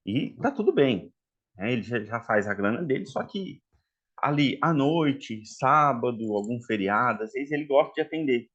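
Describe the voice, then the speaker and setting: A man's voice: medium pitch, expressive, normal speed, fairly bright tone, lo-fi sound. A man from Minas Gerais with a mineiro Portuguese accent, teaching a chatty live online class for therapists and checking in with the audience often. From Minas Gerais, Brazil. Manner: chatty, addresses audience as "galera", frequent "tá?" and "né?". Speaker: online course instructor.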